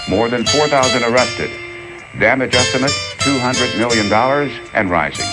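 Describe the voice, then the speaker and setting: A man's voice: medium pitch, low-quality recording. A man narrating a news report in a grave, emphatic broadcast delivery. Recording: archive news clip under loud music.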